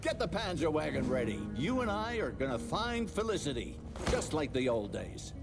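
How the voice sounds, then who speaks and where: A man's voice: on the high side, sing-song, moderate pace, over loud music. An actor playing a boisterous, scoffing older hunter, a father, in a game cutscene. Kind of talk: video game cutscene acting.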